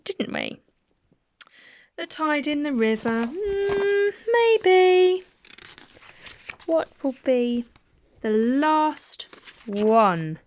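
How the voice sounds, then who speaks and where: A woman's voice: a little high, no echo, highs cut off. A middle-aged woman reading children's poems aloud at bedtime, with playful, chatty asides between the poems.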